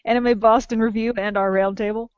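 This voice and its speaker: A woman's voice: on the high side, fairly even intonation, brisk, no echo. A woman co-hosting a podcast, giving emphatic advice in a casual rant. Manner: ranting, emphatic. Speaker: podcast co-host. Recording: dull lo-fi sound.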